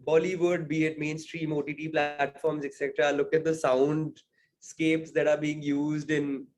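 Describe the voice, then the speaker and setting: A man's voice: on the high side, level tone, unhurried, a little mellow. A man being interviewed as a singer-songwriter, talking casually and thoughtfully, hedging often with "sort of" and "I think".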